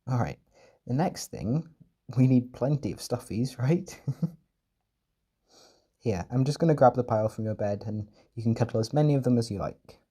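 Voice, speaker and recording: A man performing a gentle, doting caretaker in an intimate comfort roleplay, speaking softly and reassuringly with tender pet names. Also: mid-range voice, expressive, normal speed, pauses often, slightly rough. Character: gentle, doting male caretaker soothing an overwhelmed partner.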